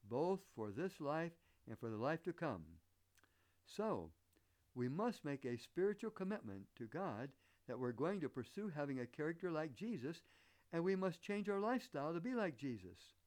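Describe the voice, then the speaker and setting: A male preacher speaks in a slightly high voice with plenty of rise and fall. His American accent has picked up a Southern color. He delivers his sermon earnestly and deliberately, as someone teaching step by step. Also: sing-song, darkish tone. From northern United States. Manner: earnest, deliberate, rhetorical questions. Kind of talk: sermon with illustrative anecdotes.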